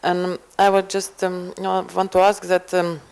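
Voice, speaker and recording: Woman, Latvian accent, low flat voice, gravelly, halting moderator. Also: poor audio. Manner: halting, many fillers and restarts. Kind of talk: panel moderation.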